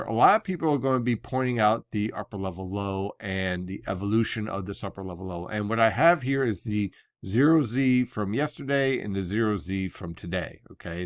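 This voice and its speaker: An American man with a fairly low voice, recorded close and dry. He talks as a weather forecaster hosting his own briefing, unscripted and conversational, taking the listener through technical detail one step at a time. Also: no echo.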